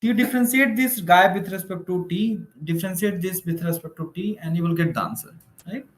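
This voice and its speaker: Male maths teacher, Indian accent, slightly high voice, informal explanatory delivery with frequent check-in tags, noticeably echoey room. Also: brisk. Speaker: maths teacher for exam preparation. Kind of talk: informal online lecture, working through problems. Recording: roomy, echoing room sound.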